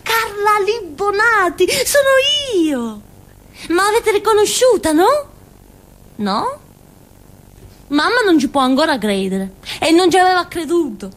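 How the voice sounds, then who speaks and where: An actress playing a naive, childlike village simpleton girl with a Calabrian accent, in a halting, repetitive theatre monologue. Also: very high voice, very animated, heavily acted. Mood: excited, proud. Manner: simple, repetitive, halting, with questioning tags and giggles. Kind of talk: theatrical monologue in colloquial dialect-tinged Italian.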